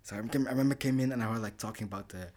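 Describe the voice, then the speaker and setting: Young man, slightly low voice, rambling casual chat, laughs a lot. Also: rapid-fire, a little mellow. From Rwanda, raised in the Netherlands. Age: young adult. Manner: rambling, laughing, lots of fillers and restarts. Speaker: podcast co-host.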